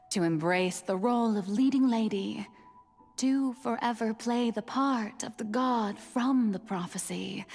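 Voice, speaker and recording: An actress voicing an ancient, wistful water goddess in a video game, speaking with theatrical, eloquent poise and a soft tone. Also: female voice, on the high side. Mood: measured, explanatory. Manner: theatrical, measured, eloquent delivery. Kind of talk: video game dramatic monologue. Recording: slight hall reverb, music behind.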